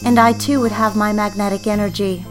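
A young woman playing a yearning, self-justifying secretary engaged to be married, narrating an audio drama in the first person in a measured, wistful, confiding way. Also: mid-range voice, steady tone, faint music behind, lightly acted. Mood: resolute, self-justifying.

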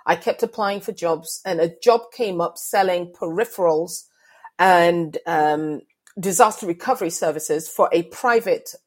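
A middle-aged woman, speaking candidly as a panelist and company co-founder, telling stories in an animated way. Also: lowish voice, unhurried. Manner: animated storyteller, acts out quoted dialogue. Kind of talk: candid storytelling in a discussion.

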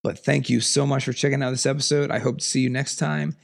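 A man hosting a solo podcast, raspy from a cold, talking in an earnest, emphatic, passionate way. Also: fairly even intonation, fast talker. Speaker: podcast host. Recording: lower audio quality.

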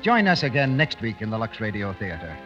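A man's voice: on the low side, very animated, a little fast, big-room sound, over music. A man working as a radio announcer, reading a script in 1930s broadcast style on an old muffled recording.

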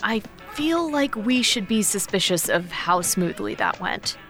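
Level-headed woman sidekick, mid-pitched. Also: expressive, measured pace, a bit bright, soft background music. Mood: alert, practical. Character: level-headed, observant woman companion on a shady errand. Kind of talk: modern audio drama acting.

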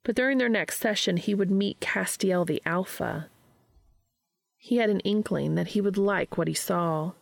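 A woman reading fan fiction aloud as an expressive scripted story narration. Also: medium pitch, normal speed, creaky, no echo.

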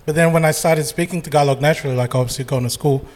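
A man's voice: fairly high pitch, steady tone, very fast, darkish tone. A man from the Philippines co-hosting a live podcast, joking and teasing the others as he tells a story. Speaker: podcast co-host. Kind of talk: casual live panel chat. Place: live conference stage.

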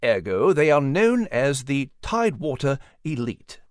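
A man voicing a polite, scholarly gentleman mouse with a formal, professorial manner in an animal storybook. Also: mid-range voice, very animated, unhurried, no echo, studio quality. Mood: lecturing.

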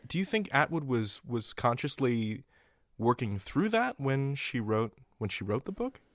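A man's voice: mid-range voice, very animated, measured pace, no room sound, clean studio sound. A man asking questions conversationally as an interview host.

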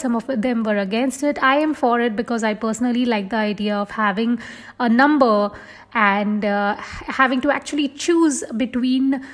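A woman hosting a podcast, asking polite questions that sound scripted. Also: on the high side. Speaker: podcast host.

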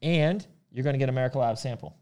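A man's voice: medium pitch, fast, darkish tone, clean tone. A man co-hosting a casual livestream, reading viewer comments with a playful, laughing manner. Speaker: co-host of a brand livestream.

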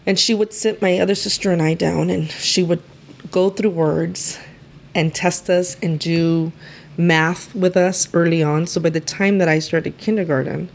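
Low-voiced woman telling her story. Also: steady tone, measured pace, creaky, slight background noise. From East Los Angeles. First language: Spanish. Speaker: lawyer guest. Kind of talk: conversational interview.

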